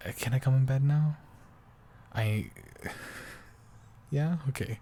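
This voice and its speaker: A man playing an affectionate young boyfriend in an improvised bedtime roleplay, talking softly and haltingly, often sinking toward a whisper. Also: mid-range voice, a little hiss or hum. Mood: giddy, tender. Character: affectionate, doting young boyfriend, soft and rambling. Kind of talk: improvised intimate roleplay audio, sleep aid.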